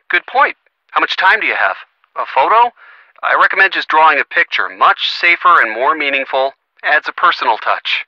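Young american boyfriend in a game, earnest n wry, really bright forward voice, bit muffled. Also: male voice, medium pitch, dry recording, heavily acted. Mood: casual, amused. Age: young adult. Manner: conversational, expressive, wry. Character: earnest young boyfriend of a sleuth, gently sardonic. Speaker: voice actor. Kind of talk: video game phone-call dialogue.

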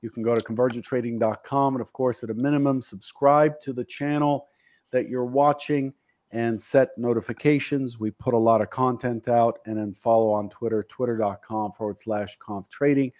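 Mellow-voiced man in his fifties hosting. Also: medium pitch, fairly even intonation, normal speed. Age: 50s. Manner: reflective, earnest, self-deprecating. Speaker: host. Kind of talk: casual video-call panel chat. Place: home office. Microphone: studio mic on video call.

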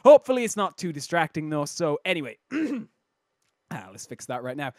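A man with a slightly croaky voice hosting casual, jokey video game commentary in a self-interrupting way. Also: a little high, sing-song, normal speed, a little mellow, no room sound, clean studio sound. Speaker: host of a game replay showcase.

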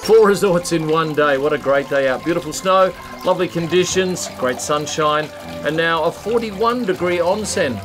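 A man's voice: fairly high pitch, moderate pace, music in the background, poor audio. An Australian man in his sixties giving chatty travel vlog narration. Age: 60s. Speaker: travel vlogger.

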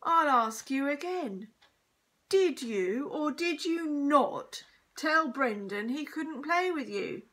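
A woman's voice: high voice, animated, takes it slowly, fairly bright tone, pitched above the performer's own voice, heavily acted. A woman voicing a stern, short-tempered male schoolteacher in a children's story, barking and scolding emphatically. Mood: stern, demanding.

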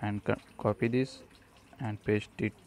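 A man presenting a step-by-step programming tutorial, speaking haltingly in short fragments. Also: lowish voice, fairly even intonation, a little mellow, slight background noise. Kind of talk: step-by-step screencast tutorial narration.

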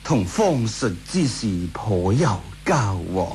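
A male actor with a Hong Kong Cantonese accent playing a sly, unhurried imperial minister in a radio drama. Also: fairly low pitch, very animated, darkish tone. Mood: smug, scheming. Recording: radio drama with faint music.

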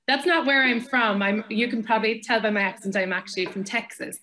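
An Irish woman joins a live panel by video call. She speaks in a somewhat high voice and jokes dryly. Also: a little fast, bright, forceful tone, rough recording. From Ireland. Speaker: comedian panelist. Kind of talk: joking panel chat over video call.

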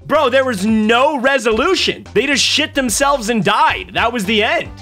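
A man's voice: extremely high pitch, animated, a little slow, bright, forceful tone, soft background music. A man in his twenties hosting a casual reaction stream, joking and laughing often, on a close desk mic at home. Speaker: streamer host. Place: home streaming room, indoors. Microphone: desk boom mic close up.

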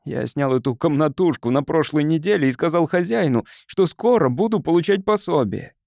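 A man's voice: fairly high pitch, lively intonation, normal speed, creaky, darkish tone, no room sound, studio quality, pitched above the performer's own voice. A man voicing a desperate, hungry, bitter unemployed man in an audiobook, pleading for help. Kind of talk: audiobook character dialogue.

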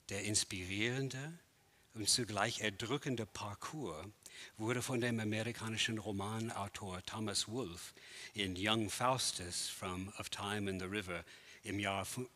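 A man in his sixties, a native English speaker, giving a theatrical lecture performance in a large empty gallery hall, declaiming with dramatic swells and hushed drops. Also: on the low side.

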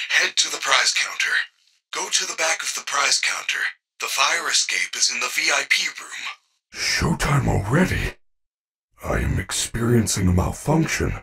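Casting: caring animatronic bear mascot for a game, formal and earnest, lively intonation, raspy edge. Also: male voice, mid-range voice, far from the mic, full character voice. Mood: earnest, concerned. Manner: earnest, formal, precise speech without contractions. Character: kind, protective male animatronic bear entertainer robot. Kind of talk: video game voice acting.

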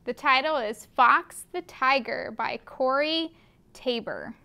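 A young woman with a high, clear voice reads a picture book to small children at an unhurried pace. She hosts a story time and speaks to the children directly, asking them questions. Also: pushed and bright. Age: young adult. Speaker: library story time host. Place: carpeted library children's room, indoors. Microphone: camera a few feet away.

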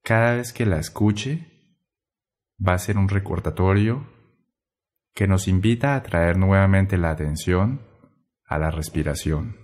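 Slow, low-voiced man guiding meditation. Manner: gentle, measured, pausing between short phrases. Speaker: meditation guide. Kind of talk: guided meditation narration.

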